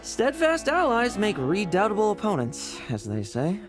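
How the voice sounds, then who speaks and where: An intense male schemer in a video game, with a high, very animated voice. He is reckless and commanding, theatrical in delivery, with music clearly underneath. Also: moderate pace. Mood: calculating.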